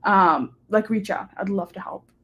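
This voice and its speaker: A woman's voice: mid-range voice, unhurried, fairly bright tone. A young adult woman, a guest on a live-streamed interview, talking casually and upbeat with many "like" and "um" fillers. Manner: chatty, upbeat, lots of "like" and "um" fillers. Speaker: guest, short-term rental host and hotel manager.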